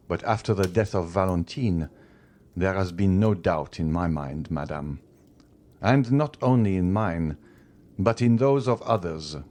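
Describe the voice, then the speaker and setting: A man's voice: low voice, darkish tone. A man reading an audiobook, voicing a stern magistrate husband who accuses his wife with cold contempt and climbing fury. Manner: accusing, rising to furious outbursts.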